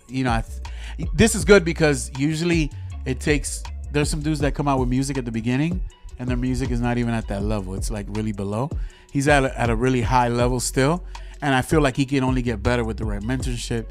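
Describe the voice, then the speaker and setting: A man from Miami co-hosting a podcast, talking music in an animated, slangy way with frequent restarts. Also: medium pitch, a little fast. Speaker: podcast co-host. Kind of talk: casual music chat.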